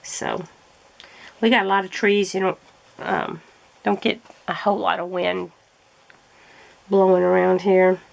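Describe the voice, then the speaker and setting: Woman vlogger, fry-edged voice, chatty and meandering while crafting, throat roughened by allergies. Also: lowish voice, flat delivery, measured pace, long pauses, poor audio. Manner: rambling, chatty, self-correcting. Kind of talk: casual chat while crafting.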